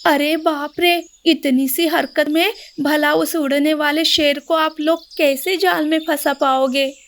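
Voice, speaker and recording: A woman voicing a pregnant mother monkey, tender, expressive, protective and anxious, in an animated animal story. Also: high-pitched, takes it slowly, a little hiss or hum, pitched above the performer's own voice. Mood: worried.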